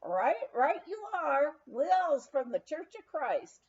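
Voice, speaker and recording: Lady doing a folksy texan church fella from a storybook, dead earnest, draws words out slow. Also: sits high, sing-song, darkish tone, dull audio, voice pushed up, exaggerated voice. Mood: firm. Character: plain-spoken, teetotal Texan churchgoing man, drawling and earnest.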